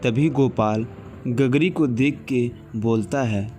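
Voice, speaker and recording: A man's voice: mid-range voice, slow, warm tone, faint music behind. A man reading a scripted horror story as its narrator.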